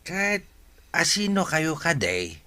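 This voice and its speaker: A man playing a gossipy, sympathetic neighbour, chatty and expressive, in an audio drama. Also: fairly high pitch, slow, full character voice. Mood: curious, sympathetic. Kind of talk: Ilocano radio-style audio drama acting.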